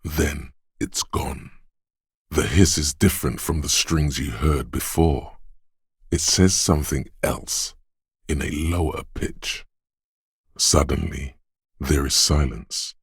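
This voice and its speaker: An inner voice of perception for a video game, extremely deep and heavily husky. The delivery is slow and hushed, like careful atmospheric narration. It is watchful and poetic, savoring every small sound, in a dry, close recording. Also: male voice, no room sound, full character voice. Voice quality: husky, gravelly, breathy.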